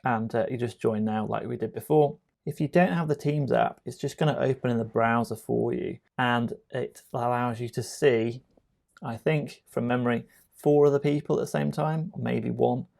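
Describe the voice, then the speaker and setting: Low-key gravelly man giving a tutorial. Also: darkish tone. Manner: conversational, explanatory, addresses viewer directly. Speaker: tutorial presenter. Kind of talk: informal software tutorial narration.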